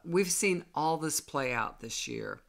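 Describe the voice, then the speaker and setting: A middle-aged woman, a tarot reader, speaking slowly and earnestly to her webcam from a small room at home. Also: sits low, expressive, vocal fry. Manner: slow, earnest and solemn. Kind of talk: direct-to-camera spiritual message.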